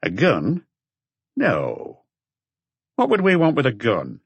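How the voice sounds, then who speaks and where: A man's voice: mid-range voice, wildly swooping pitch, takes it slowly, creaky, breathy edge, no echo, highs cut off, exaggerated voice. A man voicing a short, grumpy accountant in an audiobook, curt and guarded in manner. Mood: guarded, explaining. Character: short grumpy male accountant, defensive but talkative.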